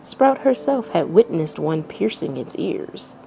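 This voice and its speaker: A woman narrates a story with dramatic, read-aloud phrasing. Her voice sits low, with a heavy creak of vocal fry, and she takes her time over the words. The recording carries clear background noise. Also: very animated, unhurried, a little mellow, poor audio. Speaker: fan fiction reader and channel host.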